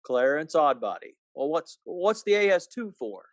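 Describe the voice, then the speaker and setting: A man playing a despairing, sardonic small-town family man in a radio play, with a halting, folksy, skeptical drawl. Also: fairly high pitch, sing-song. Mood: skeptical, puzzled. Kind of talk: 1940s-style radio play acting.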